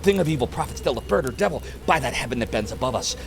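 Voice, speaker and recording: A man's voice: medium pitch, animated, rapid-fire, creaky, over music. A man playing a haunted, grieving poetic narrator, melodramatic and pleading, in a dramatic poetry reading. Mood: frantic anguish. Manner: melodramatic, pleading, shrieking outbursts.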